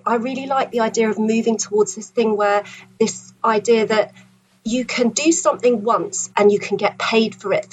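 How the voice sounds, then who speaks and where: A woman guest on a podcast, talking in a thoughtful, reflective way and pausing over her words. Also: on the high side, rough recording. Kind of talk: conversational podcast talk.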